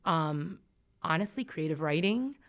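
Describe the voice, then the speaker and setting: A woman's voice: low-pitched, animated, a little slow. A woman in her thirties, an educator guest on a podcast, talking reflectively and emphatically with many fillers. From United States. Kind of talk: earnest podcast conversation.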